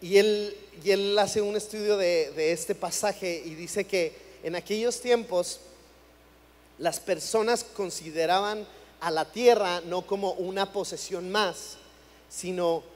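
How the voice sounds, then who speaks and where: A high-voiced young man from Chihuahua, Mexico, preaching a sermon in a conversational tone and often doubling words as he begins a thought. His voice carries a long reverb through a church hall. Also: takes it slowly, darkish tone. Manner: conversational, repeats words when starting phrases.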